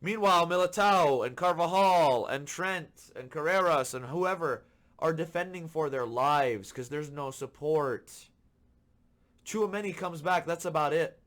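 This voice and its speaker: A man hosting a football podcast, ranting with frustration and repeating his points for emphasis, a little hoarse from being sick. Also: a little high, unhurried, fairly bright tone. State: slightly hoarse from illness. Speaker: podcast host. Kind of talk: solo football commentary rant.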